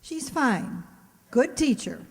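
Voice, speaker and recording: A woman's voice: sits high, very animated, slow pace, gravelly, darkish tone, husky, full character voice. An actress playing a wry female schoolteacher with a dry, sardonic delivery in a comic radio play. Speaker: amateur radio-play actor. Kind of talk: comic old-time radio sitcom acting. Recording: echoey hall with long reverb.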